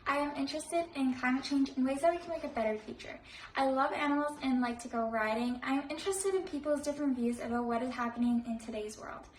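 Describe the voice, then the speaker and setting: A teenage girl from Canada introduces herself in short, simple sentences. Her voice sits high and sounds distant, with echo and a faint hiss behind it. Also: quick pace. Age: teens. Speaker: student panelist. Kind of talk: self-introduction. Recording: distant echoey mic with faint noise.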